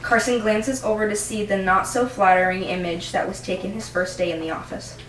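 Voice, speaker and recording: A woman's voice: mid-range voice, steady tone, a little fast, fairly bright tone. A young adult woman reading stage directions as the narrator of an amateur script read. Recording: roomy, distant mic, faint noise.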